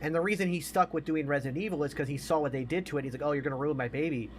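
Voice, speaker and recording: A man's voice: a little high, very fast, slight background noise. A man in his forties chatting casually as a podcast co-host, opinionated and emphatic, repeating himself to make a point. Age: 40s. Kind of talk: casual chat about movies.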